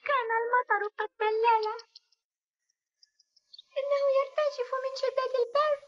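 A small, stubborn mountain girl in a dubbed cartoon, pleading and insistent. The voice is very high and bright. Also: steady tone, normal speed, highs cut off. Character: earnest little mountain girl, stubborn and tender. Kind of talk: Arabic cartoon dub.

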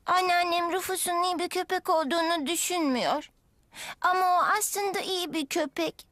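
A woman's voice: very high-pitched, fairly even intonation, moderate pace, a bit bright, no room sound. A woman voicing a cheerful, eager young girl who talks coaxingly in a children's cartoon dub. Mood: earnest, pleading. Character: cheerful young girl devoted to her dog.

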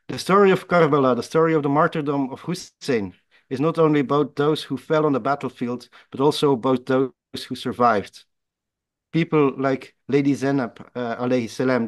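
Earnest man reading a speech aloud. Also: medium pitch. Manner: earnest, reads aloud with frequent self-corrections. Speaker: forum speaker. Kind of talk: reading a prepared political tribute. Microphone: video call microphone.

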